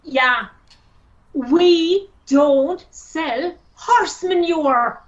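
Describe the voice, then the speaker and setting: A woman's voice: high voice, drawn-out words, highs cut off. A woman playing a curt, unbudging stable worker in a radio play, firm and unimpressed. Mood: annoyed.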